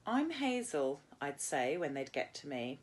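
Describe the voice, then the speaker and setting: A woman's voice: deep voice, big pitch swings, unhurried. A British woman, an English teacher, reading a novel aloud and explaining it informally as she goes.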